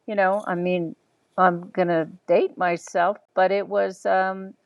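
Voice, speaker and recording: A woman's voice: lowish voice, flat delivery, takes it slowly, no echo. A middle-aged woman sharing thoughtful, hesitant reflections on friendship, with frequent ums. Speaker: listener commenting. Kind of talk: reflective personal commentary.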